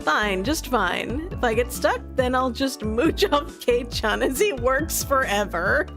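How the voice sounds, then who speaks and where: A woman's voice: on the high side, animated, takes it slowly, music in the background. A woman voicing a brash, easygoing tomboy teenage schoolgirl in a story game, with a casual drawl. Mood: breezy.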